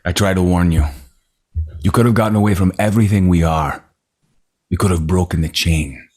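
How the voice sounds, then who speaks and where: A man's voice: low-pitched, brisk, a little mellow. A man reading a broken, panicky rancher in his fifties at a screenplay table read, cursing and despairing. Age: 50s.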